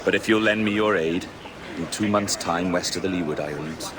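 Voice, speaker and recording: An actor playing a proud pirate captain in a video game, speaking in florid, theatrical oratory. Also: male voice, sits low, moderate pace, a crowd in the background, natural voice. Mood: measured, cool. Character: grandiloquent, proud pirate captain addressing his crew. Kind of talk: dramatic video game acting.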